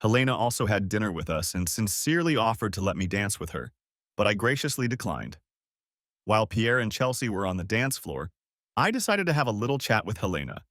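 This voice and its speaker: A man narrating a first-person story for an audiobook, raising his pitch for quoted women. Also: very animated, a little fast, fairly bright tone, no room sound, clean studio sound.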